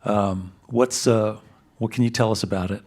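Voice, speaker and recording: A man's voice: lowish voice, fairly even intonation, moderate pace, darkish tone. A man hosting a radio interview on stage, asking friendly, rambling questions. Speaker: radio show host. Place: stage in a concert hall.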